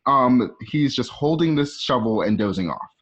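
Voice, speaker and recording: A man's voice: medium pitch, lively intonation, normal speed, a bit bright. Man narrating a script, slightly muffled. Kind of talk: reading screenplay stage directions aloud. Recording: dull lo-fi home recording.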